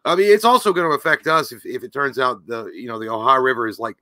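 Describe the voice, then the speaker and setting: A man in his thirties, loud and profane, joking casually as co-host of a livestream. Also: mid-range voice, quick pace, dry recording. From Kentucky. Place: home room with shelves. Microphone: desk mic on video call.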